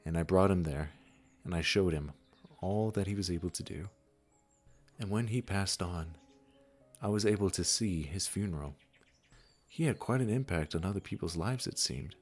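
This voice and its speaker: A man playing a gentle, wise supernatural gardener in a comforting audio roleplay, speaking soothingly and reassuringly straight to the listener. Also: low-pitched. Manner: soothing, unhurried, reassuring, with soft chuckles.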